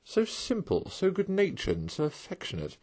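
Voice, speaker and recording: A man voicing a cheerful, excitable male water rat in a storybook reading. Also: sits high, voice pushed up, full character voice. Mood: enthusiastic. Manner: brisk, chatty, indignant at times.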